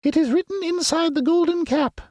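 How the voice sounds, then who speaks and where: A man reading a storybook aloud, voicing a tiny, gracious female queen of mice in a squeaky voice. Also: a little fast, a little mellow, no room sound, clean studio sound. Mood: kindly.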